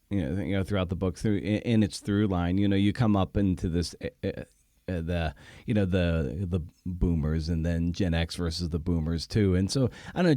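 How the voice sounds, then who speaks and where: A man's voice: low voice, warm tone, no room sound, studio quality. A man hosting a podcast, asking questions in a casual, hesitant way that circles toward the point. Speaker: podcast host.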